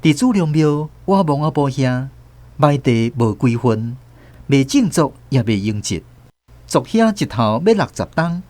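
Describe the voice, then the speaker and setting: A man's voice: mid-range voice, sing-song, measured pace, a little mellow. A man with a Taiwanese accent narrating a first-person story dramatically for a radio drama.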